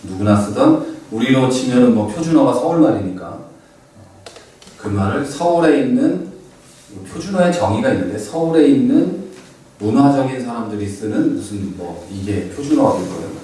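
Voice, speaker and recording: A man's voice: mid-range voice, animated, moderate pace, dark tone, heavy reverb, recorded from a distance, low-quality recording. A middle-aged man teaching a Bible class in a conversational lecturing manner with fillers and asides. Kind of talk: lecture on biblical background.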